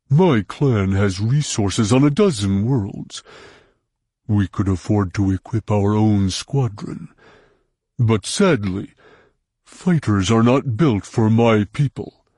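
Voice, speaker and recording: A man's voice: warm tone, slightly rough, no room sound, studio quality. A man voicing a young, huge, slug-like Hutt who is pompous and blustering, speaking in a grandiose, indignant way. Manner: grandiose, indignant, slow rumbling delivery. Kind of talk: audiobook character voice.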